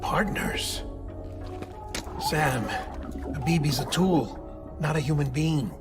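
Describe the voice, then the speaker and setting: A middle-aged game scientist, kinda high voice, clinical n secretive, theatrical explainer, music behind. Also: male voice. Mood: grave, clinical. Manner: deliberate, expository, theatrical. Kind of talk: video game cutscene acting.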